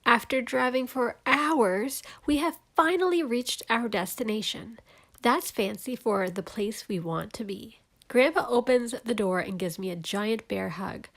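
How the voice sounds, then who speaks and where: A woman reads to young children in a somewhat high voice. Her delivery is animated and careful, with clear enunciation, in the style of an expressive storybook read-aloud. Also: unhurried. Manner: animated, clearly enunciated, spells out and explains words.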